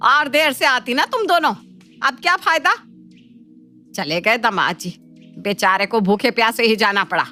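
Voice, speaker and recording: A woman's voice: mid-range voice, moderate pace, bright, forceful tone, close to an everyday voice. A woman voicing a scheming, middle-aged cartoon mother-in-law who scolds, coaxes and dotes on her daughter. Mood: scolding, fussing. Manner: scolding, coaxing, commanding. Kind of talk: Hindi cartoon family drama acting. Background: faint background music.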